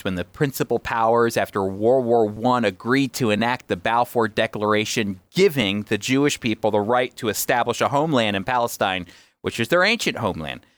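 A man's voice: mid-range voice, fairly bright tone, no room sound. A man hosting a radio program, explaining his points emphatically in a teaching style and often answering his own questions. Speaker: radio host.

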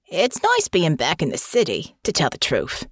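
A woman voicing a humble young male airship crewman with a soft, homey rural drawl and folksy grammar. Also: deep voice, huge pitch swings, normal speed, dry recording, studio quality, exaggerated voice. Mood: wistful. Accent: rural country dialect. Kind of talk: audiobook character voice.

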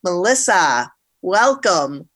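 A woman's voice: on the low side, big pitch swings, takes it slowly, a bit bright. A woman hosting an interview show, speaking in a presenter's style as she introduces topics and questions her guest. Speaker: show host.

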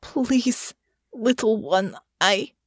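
A woman voicing a tender, remorseful female lover in an audiobook, soft and thick with tears. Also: on the high side, lively intonation, extremely slow, breathy edge, no echo, studio quality, full character voice. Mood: pleading. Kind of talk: audiobook character acting.

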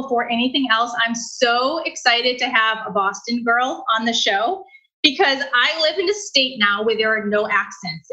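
Woman podcast host, high and bright, eager and talkative. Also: highs cut off. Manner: enthusiastic, talkative. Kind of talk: casual interview chat.

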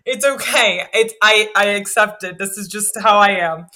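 Woman, podcast co-host, casual chat, lower voice, bright forceful tone, lots of "like". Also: normal speed. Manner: animated, chatty with many "like" fillers.

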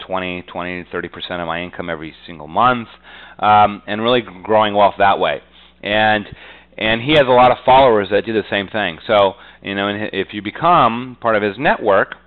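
A man with a low, smooth and resonant voice answers as a guest in a business interview. He talks conversationally, like an agent explaining his trade, and leans heavily on "you know" as he goes. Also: fairly bright tone, poor audio.

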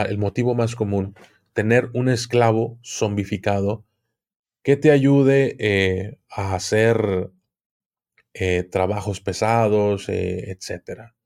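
A man with a Mexican accent speaking as an interview guest, explaining things conversationally and haltingly, often repeating words. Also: lowish voice, fairly even intonation, darkish tone. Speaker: podcast guest, occultism expert.

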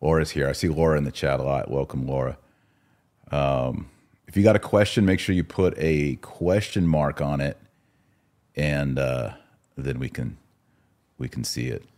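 A man's voice: extremely low pitch, moderate pace, pauses often, darkish tone. A man hosting a podcast in a studio, chatting casually and reading out live comments in an informal, jokey, slangy way. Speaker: podcast host.

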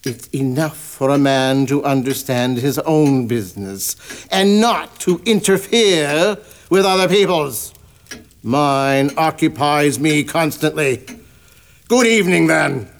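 A man's voice: a little high, takes it slowly. An actor playing a bitter, miserly old businessman in a radio drama, scornful and curt. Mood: scornful, grudging. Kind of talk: family radio drama acting.

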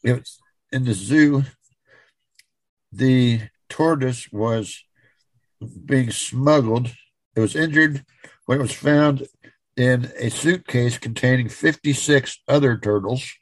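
Male podcast co-host, mid pitch, unhurried and halting, casual news chat. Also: no room sound, rough recording. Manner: halting, searching for words.

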